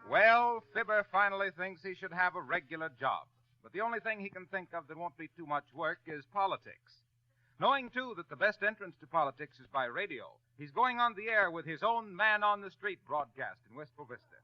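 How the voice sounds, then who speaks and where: Man, genial radio announcer, high voice, dry dull 1930s broadcast sound. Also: lively intonation, brisk, dry recording. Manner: genial, storytelling. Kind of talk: 1930s radio show introduction.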